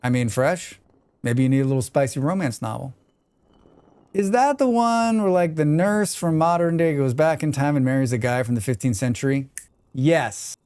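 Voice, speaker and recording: Man, American accent, casual streamer chat, smooth clear voice, dry close sound. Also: on the high side, expressive, normal speed, darkish tone, dry recording. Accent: General American. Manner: rambling, chatty, reads chat aloud. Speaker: live streamer. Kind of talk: casual chat while gaming.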